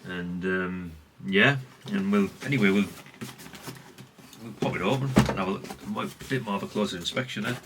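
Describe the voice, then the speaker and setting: A man's voice: low-pitched, moderate pace, heavy background noise. A middle-aged man from chats unscripted as a hobbyist vlogger, rambling and conversational with repeated catchphrases. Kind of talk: unscripted casual chat, showing an item.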